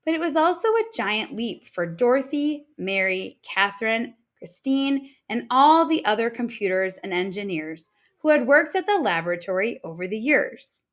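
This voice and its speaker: A woman reading an informational picture book aloud like a teacher, with deliberate, expressive phrasing for children. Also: fairly high pitch.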